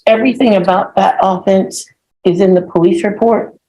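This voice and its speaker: A woman's voice: medium pitch, fairly even intonation, moderate pace, warm tone, poor audio. A woman working as a defense attorney, formally making objections and addressing the court.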